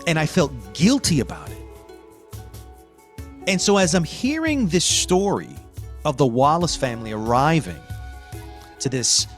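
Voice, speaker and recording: An American man co-hosting a podcast, talking through a story in an emphatic, reflective way with dramatic pauses. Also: fairly high pitch, sing-song, faint music behind. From Queens, New York, United States. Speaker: podcast co-host. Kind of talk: conversational podcast analysis.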